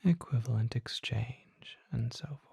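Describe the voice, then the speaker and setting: Performer acting a whispering, husky vampire apothecary. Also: mid-range voice, a little mellow, no echo, studio quality, heavily acted. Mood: gentle, courteous. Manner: formal, polite, unhurried, old-fashioned phrasing. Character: courteous, mysterious vampire apothecary merchant, softly musing. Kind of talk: whispered ASMR roleplay.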